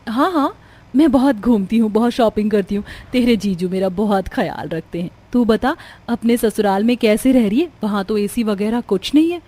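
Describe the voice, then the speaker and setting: A young woman voicing an emotional married sister in a cartoon family drama, with expressive delivery. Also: medium pitch, normal speed, natural voice. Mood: chatty, sweet.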